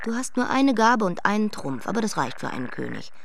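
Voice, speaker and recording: A woman's voice: medium pitch, sing-song, fairly quick, vocal fry, fairly bright tone, slight background noise. A woman playing a proud, headstrong queen in a German radio play, speaking in emphatic, declamatory phrases. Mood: cold, commanding. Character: headstrong, imperious young queen, proud and evasive.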